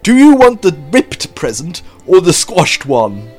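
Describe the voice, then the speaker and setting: A man's voice: high voice, wildly swooping pitch, unhurried, darkish tone, full character voice. Scheming mad doctor, a man doing it, smug, sing-song, faint music behind. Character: scheming, greedy mad doctor, male adult.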